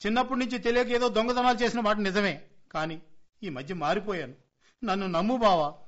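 A man's voice: high voice, brisk, a bit bright. A man playing a sly, whining middle-aged brother-in-law in a Telugu comedy radio play, a petty thief pleading innocence in a theatrical way. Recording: dull lo-fi radio play recording.

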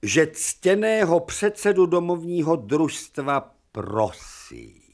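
An actor playing a wry, commanding older professor of medicine in a radio drama. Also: male voice, on the high side, sing-song, darkish tone. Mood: sardonic. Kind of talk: theatrical radio drama acting.

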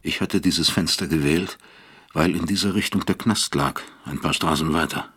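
An actor playing a weary, brooding older professor who reflects gravely in a radio drama. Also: male voice, very deep voice, moderate pace, fairly bright tone, heavily acted. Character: weary, brooding male East German professor, troubled narrator. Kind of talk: radio drama acting and narration.

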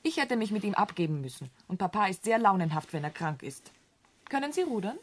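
An actress playing a candid, carefree young country girl in a German radio drama, effusive and direct, with a breathy voice. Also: mid-range voice, big pitch swings, fairly quick. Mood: cheerful chatter.

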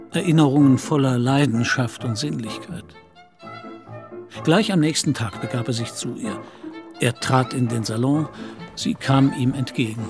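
A man's voice: mid-range voice, vocal fry. Male audio drama narrator over music.

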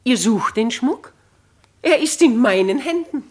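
A woman's voice: on the high side, lively intonation, unhurried, a little mellow. A woman playing a dignified, bold older noblewoman writer in a 1950s German radio drama, with theatrical, declamatory phrasing. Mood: challenging.

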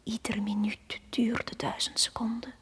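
Radio play: ghostly drowned girl, barely voiced breathy whispering, slow and deliberate storytelling. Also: a little high, little pitch movement, a bit bright, exaggerated voice. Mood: hushed, fearful. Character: drowned young girl, haunting, recounting her death. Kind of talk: dramatic radio play monologue.

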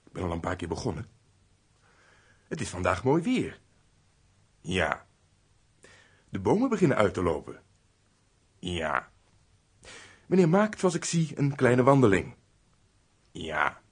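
A male actor playing a fussy, nosy, self-important castle steward in a Dutch radio play, with a husky, blustering, obsequious delivery. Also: lowish voice, huge pitch swings, measured pace, long pauses, full character voice. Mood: nosy, exasperated. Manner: flustered, obsequious about nobility, blustering at underlings.

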